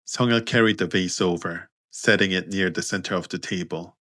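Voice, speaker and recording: A man narrating scripted fiction as an audiobook, in a measured, understated manner. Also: deep voice, pushed and bright, no room sound, studio quality. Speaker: author and narrator.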